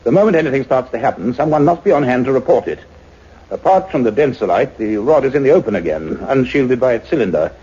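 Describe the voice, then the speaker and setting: A man's voice: medium pitch, animated, quick pace, warm tone, slightly rough. An actor playing an earnest male scientist, speaking in a precise, formal radio-play manner. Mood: serious, resolute. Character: earnest male scientist doctor narrating his investigation. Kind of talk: radio play acting and narration. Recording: dull, lo-fi radio drama recording.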